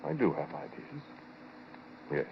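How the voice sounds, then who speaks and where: An actor playing a haunted, brooding scientist with a halting, intense delivery in 1940s radio horror style. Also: male voice, low voice, very animated, full character voice. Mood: brooding, uneasy. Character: haunted, brooding male scientist recounting a nightmare. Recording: noisy, muffled 1940s radio recording.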